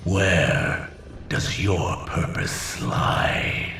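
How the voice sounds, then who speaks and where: An actor playing an ancient alien villain in a video game, a grandiose, menacing zealot who speaks in theatrical, measured oratory. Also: male voice, rumbling low, big pitch swings, creaky, sharp tone, slight background noise, heavily acted. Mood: scornful, threatening. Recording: cavernous echo, distant, music underneath.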